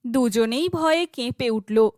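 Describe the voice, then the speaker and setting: High-voiced woman narrating slowly. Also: animated, a little mellow, no echo, studio quality. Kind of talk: scripted fairytale narration.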